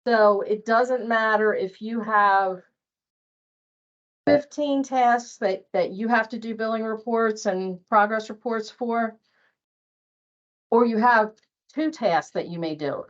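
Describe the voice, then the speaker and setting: A woman's voice: fairly high pitch, slow, long pauses, highs cut off. A woman giving an informal workplace training talk as a billing trainer, emphatic and repeating her points for stress.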